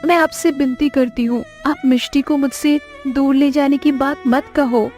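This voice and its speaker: A woman's voice: high-pitched, steady tone, normal speed, a little mellow, faint music behind, natural voice. A woman voicing a possessive, anxious fisherman's wife and mother in a Hindi cartoon story, emotive and insistent. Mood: desperate pleading.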